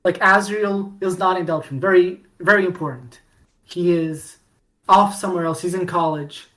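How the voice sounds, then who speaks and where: A woman co-hosting a podcast, chatting casually about fandom in an animated storytelling way with mock-dramatic emphasis. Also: on the low side, normal speed. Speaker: podcast co-host.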